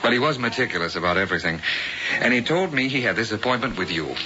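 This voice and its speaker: A man's voice: mid-range voice, lively intonation, fast talker, lightly acted. An actor playing an earnest, courteous man grieving his father, subdued in a 1970s radio drama. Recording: dull old radio broadcast with music.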